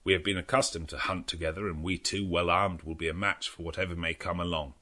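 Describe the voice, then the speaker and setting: A man voicing a grave, resolute gentleman doctor with a measured, formal manner, in a gothic audiobook. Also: low voice, steady tone, pitched below the performer's own voice.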